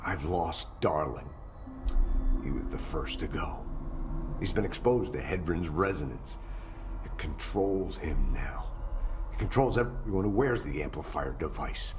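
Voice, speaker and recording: An actor playing an unhinged, paranoid agency director in a dramatic video game monologue, halting and haunted, often murmuring. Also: male voice, deep voice, animated, music in the background. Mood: paranoid, resolved.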